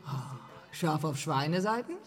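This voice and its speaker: Mocking, tolerant woman, lowish voice, lively inflection, deadpan teasing, radio drama, music behind. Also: a little slow, in a large hall. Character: wry, streetwise woman, mocking but tolerant. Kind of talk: naturalistic German radio drama acting.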